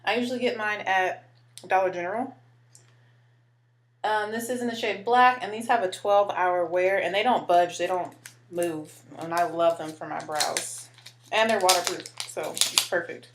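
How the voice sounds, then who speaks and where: A woman in her twenties with a deeper voice, chatting casually while doing her makeup and using lots of filler words. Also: normal speed, fairly bright tone. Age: 20s. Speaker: beauty vlogger. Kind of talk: casual makeup tutorial chat.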